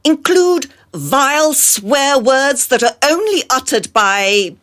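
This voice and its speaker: An actress plays a haughty madam of high society in a satirical radio drama. She talks slowly and grandly, her pitch sweeping up and down. The manner is callous, theatrical and condescending. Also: very animated, fairly bright tone, full character voice. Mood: imperious. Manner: theatrical, imperious, drawn-out.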